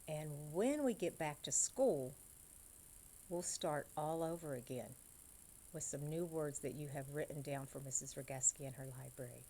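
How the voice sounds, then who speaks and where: A woman librarian addresses young children as in story time. Her voice is low and mellow, her pace very unhurried, her wording simple and direct. There is faint noise in the background. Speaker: school librarian. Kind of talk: storybook read-aloud and craft talk for young children.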